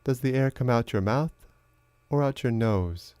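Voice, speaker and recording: A man narrating a guided meditation as a teacher, in a slow, measured, instructional way with long pauses. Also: medium pitch, darkish tone, clear, resonant tone, dry recording.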